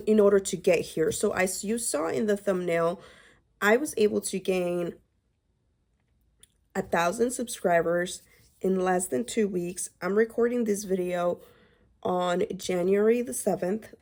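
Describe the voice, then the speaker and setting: A woman's voice: medium pitch, darkish tone. A woman YouTube creator talking casually to camera, rambling with lots of fillers and restarts. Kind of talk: casual vlog talk to camera.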